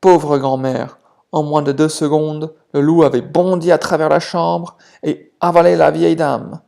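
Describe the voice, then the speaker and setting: A man's voice: fairly high pitch, fairly even intonation, unhurried, creaky, mellow. A man narrating a children's bedtime story as a storyteller.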